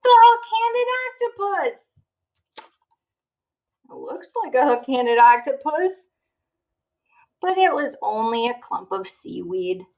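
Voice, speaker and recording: Expressive, animated woman reading a storybook aloud. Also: a little high, unhurried, vocal fry. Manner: expressive, checks in with the listener using questions. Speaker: teacher reading aloud to a child. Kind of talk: children's storybook read-aloud.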